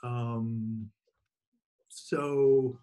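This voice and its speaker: A man informally hosting an online event, speaking in a halting, appreciative way as he gives thanks and announcements over a video call. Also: mid-range voice, steady tone, warm tone, smooth. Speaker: host of an online book reading, press editor.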